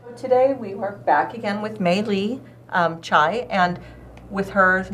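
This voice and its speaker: A woman's voice: mid-range voice, a little slow, a bit bright, a little hiss or hum. A woman co-hosting a casual interview and asking questions. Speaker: interviewer, co-host.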